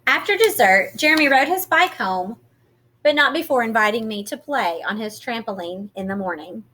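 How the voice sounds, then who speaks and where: A woman reading a children's picture book aloud in an expressive, teacherly way, pausing to put questions to young listeners. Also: on the high side, slight background noise. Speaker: read-aloud storyteller.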